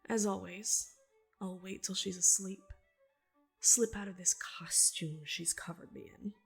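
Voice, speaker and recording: A woman playing a proud, defiant young swamp-dwelling healer in a deliberate, hushed literary monologue. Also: mid-range voice, fairly even intonation, vocal fry, husky, faint music behind. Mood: hushed, entranced. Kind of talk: literary monologue, dramatic reading.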